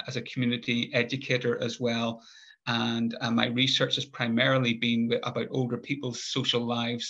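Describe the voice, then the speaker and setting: Middle-aged Northern Irish lecturer on a video call; even, flat, hesitant delivery, dull sound. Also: male voice, medium pitch. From Northern Ireland. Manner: measured, thoughtful, with frequent hesitations and restarts. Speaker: conference speaker, lecturer. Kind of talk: academic presentation. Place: home room, indoors. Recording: muffled video call audio.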